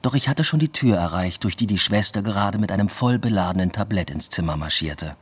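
An actor playing a reflective young man who narrates his own story in a radio drama, sober and matter-of-fact, with a husky voice. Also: animated, a little fast. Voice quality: low, husky.

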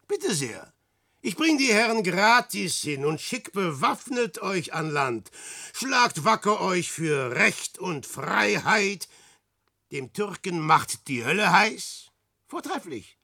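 Radio-play lead: a vain, scheming adventurer, slow and gravelly, bright tone, dry studio. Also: male voice, on the high side, no echo, heavily acted. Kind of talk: classic radio drama acting.

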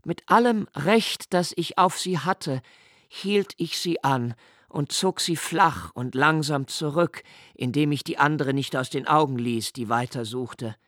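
An actor playing an introspective, haunted man who recalls his childhood in a literary radio play, with measured, precise phrasing and deliberate pauses. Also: a little high, a bit bright, no echo, studio quality. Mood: fearful, hushed. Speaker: radio drama narrator. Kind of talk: literary first-person radio play narration.

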